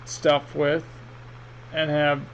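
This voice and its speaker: A man's voice: on the high side, completely flat delivery, drawn-out words. A man giving a casual, rambling, hesitant product review in an unboxing vlog, speaking off the cuff. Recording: muffled, with clear background noise.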